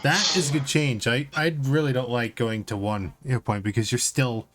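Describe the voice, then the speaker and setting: A man's voice: medium pitch, fairly even intonation, normal speed, background noise. A man hosting a podcast, talking casually about tabletop games. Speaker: podcast host.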